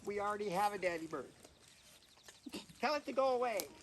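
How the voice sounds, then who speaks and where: A man's voice: high-pitched, animated, fairly quick, voice pushed up. A man voicing tiny, demanding raven nestlings with childish squawks in an outdoor storybook reading. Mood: wary, bossy. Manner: childish squawking, mangled words. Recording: distant mic, noisy outdoor recording.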